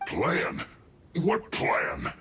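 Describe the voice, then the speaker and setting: A man's voice: on the low side, huge pitch swings, very slow, a bit bright, slight background noise, full character voice. An actor playing an indignant, whiny henchman in an anime game, protesting melodramatically. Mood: defensive. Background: game music.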